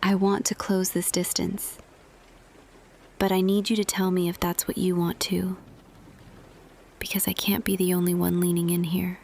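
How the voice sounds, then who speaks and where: A woman playing a tender female boss confessing love to an employee in an intimate roleplay audio, hushed and hesitant, slipping between whisper and soft voice. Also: fairly low pitch, level tone, normal speed, pauses often, slight background noise, lightly acted. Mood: confessional, longing.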